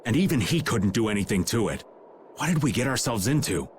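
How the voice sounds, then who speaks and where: Teasing, lively-voiced roguish flirt. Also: male voice, fairly low pitch, a little fast, a little hiss or hum. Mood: wry. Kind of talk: English video game voice acting.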